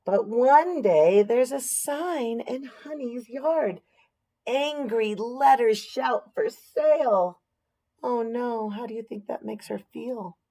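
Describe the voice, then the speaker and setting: A woman's voice: fairly high pitch, slow pace, dark tone. An American woman reading a picture book aloud expressively, with explanatory asides and questions for young listeners.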